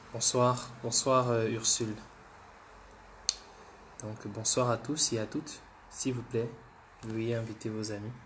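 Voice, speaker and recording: A man hosts a political livestream while fighting the flu. His voice sits at an ordinary middle pitch, with a faint hiss of noise behind it. He talks in loops, restarting words and pressing his viewers again and again. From Gabon. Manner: repetitive, restarts words, keeps urging viewers. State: has the flu. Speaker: livestream host.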